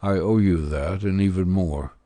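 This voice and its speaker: A man voicing a stern, blunt older priest with hidden kindness, speaking gravely and deliberately in an audiobook. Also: sits low, dark tone, no echo, close to an everyday voice. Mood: earnest, advising. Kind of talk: audiobook character dialogue.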